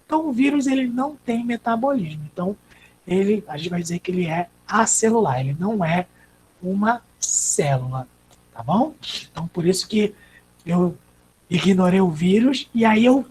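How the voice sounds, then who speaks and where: Brazilian woman teacher, mid-pitched, patient lecture, faint noise behind. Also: expressive, normal speed, a little mellow, poor audio. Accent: Brazilian Portuguese. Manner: explanatory, asks and answers own questions. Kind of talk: online class lecture.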